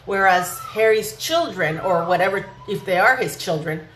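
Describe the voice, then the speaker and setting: A woman delivers opinionated gossip commentary as a vlog host. She sounds indignant and emphatic, throwing out rhetorical questions in a medium-pitched voice. The room rings with echo, over slight background noise. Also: animated, moderate pace.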